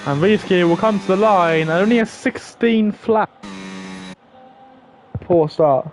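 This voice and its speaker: A man's voice: high-pitched, takes it slowly, slight background noise. A young man giving live, chatty commentary on an online racing league, thinking aloud and talking to viewers. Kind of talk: live esports race commentary.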